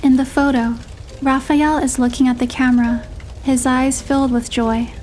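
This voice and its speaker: A woman narrating a romantic first-person story in a measured, expressive storytelling manner. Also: sits high, a little hiss or hum. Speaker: narrator and heroine voice.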